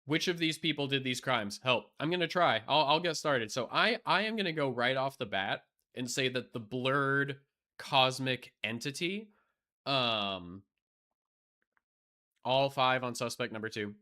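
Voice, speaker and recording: A man's voice: medium pitch, animated, unhurried, sharp tone. A young man chatting casually on a live stream, rambling with lots of fillers and restarts, blunt and profane, and losing his voice.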